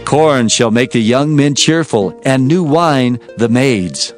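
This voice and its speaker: Fervent Old Testament prophet over music. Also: male voice, medium pitch, animated, slow pace. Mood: exultant, fervent. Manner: declamatory, solemn, exclamatory. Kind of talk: dramatized scripture reading. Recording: music behind the voice.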